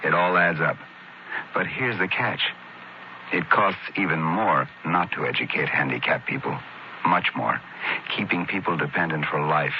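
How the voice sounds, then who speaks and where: Very deep-voiced male radio announcer. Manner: measured, plain-spoken. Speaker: public service announcer. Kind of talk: scripted persuasive public service message. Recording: dull old radio, faint noise.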